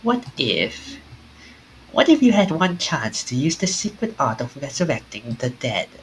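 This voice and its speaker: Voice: medium pitch, big pitch swings, measured pace, a little hiss or hum, rough recording. A streamer reading a mysterious, courteous narrator of ghostly tales aloud, in a formal, theatrical manner with small stumbles. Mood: solemn. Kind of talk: reading visual novel dialogue aloud.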